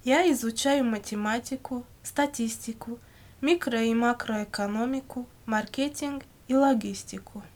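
A woman reading scripted language-course questions and answers in a measured, carefully enunciated way. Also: fairly high pitch, darkish tone. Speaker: language lesson reader.

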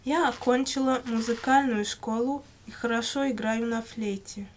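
Higher-pitched woman reading lesson answers. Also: fairly even intonation, normal speed, a little hiss or hum. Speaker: language-lesson model speaker answering questions. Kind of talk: scripted language-lesson dialogue, read aloud.

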